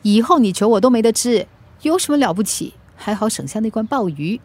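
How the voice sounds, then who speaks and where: A woman's voice: fairly high pitch, expressive, fairly quick, darkish tone. An actress playing a proud, feisty young single mother in a radio drama, snappy and protesting, with a Singaporean accent. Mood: indignant.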